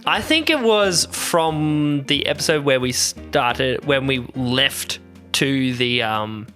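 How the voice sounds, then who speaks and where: A man's voice: medium pitch, lively intonation, slow pace, sharp tone, soft background music. A man co-hosting a casual podcast, hesitant and searching for his words. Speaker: podcast co-host. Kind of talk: casual chat.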